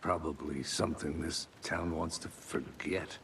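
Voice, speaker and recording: Veteran fed, game role. Very deep, creaky, dry, laconic, over a low rumble. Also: male voice, steady tone, measured pace, fairly bright tone, exaggerated voice. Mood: weary, matter-of-fact. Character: world-weary, laconic veteran male FBI agent. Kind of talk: video game dialogue.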